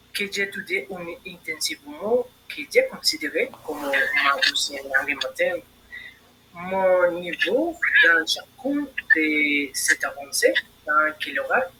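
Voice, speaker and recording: A man's voice: a little high, sharp tone, a little hiss or hum, low-quality recording. A young man, answering as a candidate in a practice admission interview, reciting prepared answers with hesitant fillers. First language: Spanish. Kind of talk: role-played job interview.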